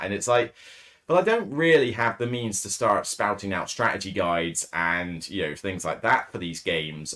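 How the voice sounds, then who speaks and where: A man with a raspy, hoarse voice, hosting a solo podcast in casual, rambling talk full of fillers and self-corrections. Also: sits low. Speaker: podcast host. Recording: distorted, too-loud mic.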